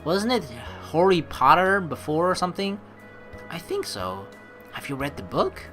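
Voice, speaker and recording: A woman's voice: on the low side, big pitch swings, normal speed, fairly bright tone. A woman streamer reading a game's text aloud and adding casual, teasing asides of her own. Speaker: let's play streamer. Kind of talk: reading game text aloud with playful commentary. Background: game music.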